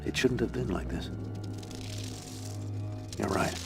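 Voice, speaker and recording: A man's voice: medium pitch, takes it slowly, vocal fry, music in the background. A man playing a vengeful older scientist turned supervillain in a video game, speaking in formal, grandiose language. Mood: grimly resolved. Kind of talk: dramatic video game cutscene acting.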